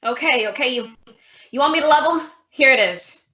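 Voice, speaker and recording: A cornered male swindler, played by a young woman who blusters defensively in a fairly high, bright voice. Old-time radio drama delivery. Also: lively intonation, normal speed, muffled recording.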